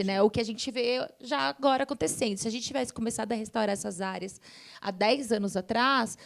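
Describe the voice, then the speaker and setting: A Brazilian woman speaks as an expert panelist at a forum. Her voice sits on the high side, with noticeable vocal fry. She explains things step by step, dropping in frequent conversational tag words. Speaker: environmental science panelist.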